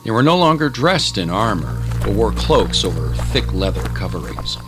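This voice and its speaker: A man's voice: on the low side, sing-song, moderate pace, over music. A man reading scripted story narration as the narrator of a drama.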